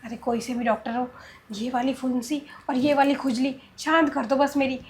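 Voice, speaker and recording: A woman plays a naive young woman plagued by an itch, whining and pleading. Her voice sits high. Faint noise runs behind her. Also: level tone, moderate pace, natural voice.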